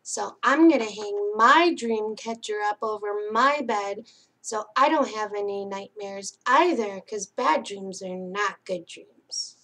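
A middle-aged woman hosting a children's story time, speaking and reading aloud in a gentle, singsong way to young children. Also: medium pitch, slow pace, low-quality recording. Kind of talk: children's picture-book read-aloud. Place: small indoor space hung with curtains and string lights.

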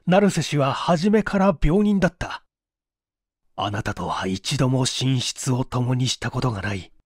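A man's voice: mid-range voice, lively intonation, a little slow, breathy edge, no echo, studio quality. A man playing a male secretary tormented by long-suppressed love, speaking with bitter, dramatic anguish. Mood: brooding hatred.

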